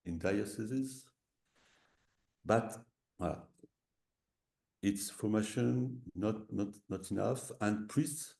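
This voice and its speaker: A French-accented man speaks slowly and haltingly in English, filling pauses and slipping back into French. His voice sits low and dark with a gravelly edge. It comes through an echoey room on a video call. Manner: hesitant, many fillers and restarts, slips into French. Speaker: visiting professor speaking on a webinar. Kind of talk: halting webinar talk in a second language.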